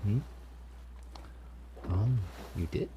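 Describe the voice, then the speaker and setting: Guy acting as a deep slow pirate captain, stern n menacing but secretly caring, audio roleplay, noisy ambience behind. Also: very animated, dull audio, exaggerated voice. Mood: gruff, grudging concern. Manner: slow, commanding, with sighs and feigned indifference. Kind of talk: audio roleplay acting, addressing a captive listener.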